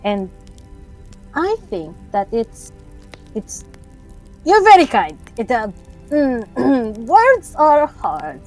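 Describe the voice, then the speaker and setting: A woman's voice: fairly high pitch, huge pitch swings, extremely slow, long pauses, darkish tone, faint music behind, voice pushed up, heavily acted, rough recording. A woman playing a reserved, vulnerable young woman adventurer in a fantasy audio drama, hesitant and understated. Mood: grateful, awkward. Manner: hesitant, understated, searching for words.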